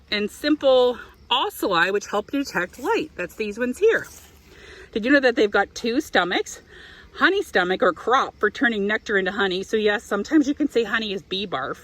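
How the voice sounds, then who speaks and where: A woman's voice: a little high, fairly bright tone. A woman reading a picture book aloud to young children, expressively, with explanatory asides. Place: outdoors in an apiary.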